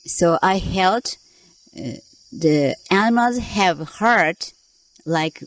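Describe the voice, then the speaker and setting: A woman's voice: fairly low pitch, expressive, very slow, no echo, rough recording. A woman who works as an animal keeper speaks in simple, hesitant English during an interview. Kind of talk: interview conversation. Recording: faint background noise.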